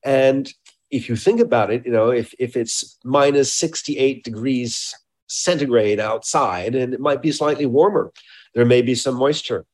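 A man speaking as an expert interviewee, explaining informally and haltingly, often repeating words and qualifying what he says. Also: mid-range voice, a little mellow. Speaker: scientist being interviewed.

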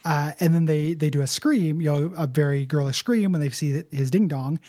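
Un homme à la voix de ténor, chat décontracté. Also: male voice, moderate pace, warm tone, dry recording, studio quality. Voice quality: medium-high tenor. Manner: rambling, filler-heavy, retells scenes with quoted lines. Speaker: podcast co-host. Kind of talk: casual chat recapping a cartoon episode.

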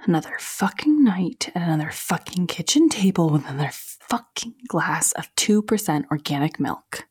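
A woman playing a sleepless, anxious and bitter young woman, talking haltingly and sardonically into an audio diary in a found-footage drama. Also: on the low side, animated, a little mellow, slightly rough. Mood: sardonic, weary. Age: young adult. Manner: sardonic, weary, halting, sweary, sighing.

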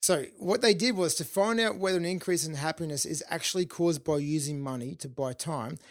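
A man hosting his own self-improvement podcast, talking solo in an upbeat, informal way with the odd filler, his voice a little hoarse. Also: a little high, fairly quick, no room sound, clean studio sound. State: slightly hoarse, losing his voice. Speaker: podcast host.

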